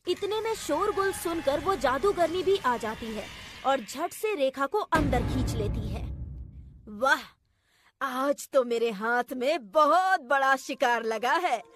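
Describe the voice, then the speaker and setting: A woman's voice: high voice, slight background noise. A woman storyteller giving scripted narration for a children's story.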